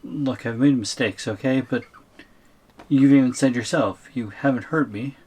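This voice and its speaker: A man's voice: mid-range voice, normal speed, darkish tone, slightly rough, lightly acted. A man acting a tiny, shrunken captive boyfriend in an ASMR audio roleplay, reluctant and wary, with a hesitant, wry way of protesting. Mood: worried.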